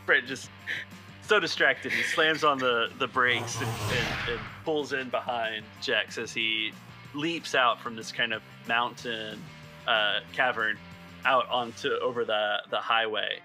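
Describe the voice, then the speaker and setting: A man working as game master, narrating improvised scenes descriptively and a little haltingly. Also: mid-range voice, fairly even intonation, over music.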